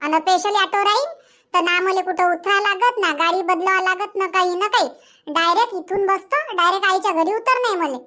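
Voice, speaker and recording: A woman's voice: very high-pitched, a little fast, bright, forceful tone. A woman voicing a stubborn, grumbling young village mother in a cartoon comedy, chattering emphatically with a Vidarbha Marathi accent. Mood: determined.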